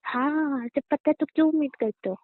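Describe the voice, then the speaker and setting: A woman's voice: high voice, normal speed, no room sound. A young woman bantering casually as a girlfriend on a phone call, sassy, curt and mocking. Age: young adult. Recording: dry, narrow tinny phone-line sound.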